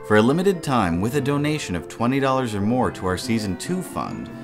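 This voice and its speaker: A man's voice: medium pitch, sing-song, a little fast, music in the background. A man narrating a radio play and reading its credits as a scripted announcer.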